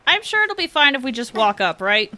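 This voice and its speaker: A woman's voice: sits high, very animated, a little fast, sharp tone. A woman giving casual live commentary as a video game streamer, playful and chatty.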